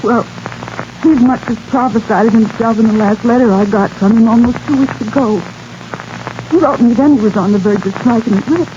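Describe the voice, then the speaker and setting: Polite, measured daughter in a radio western, female actor, higher voice, noisy 1940s broadcast recording. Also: highs cut off, close to an everyday voice. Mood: composed, sorrowful. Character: polite, composed grieving daughter of a prospector. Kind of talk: 1940s radio drama acting.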